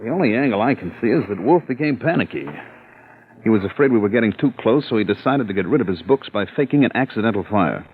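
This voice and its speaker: A man's voice: fairly low pitch, animated, fast talker, natural voice. An actor playing an eager male assistant agent in a 1940s radio drama. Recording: narrow old radio broadcast.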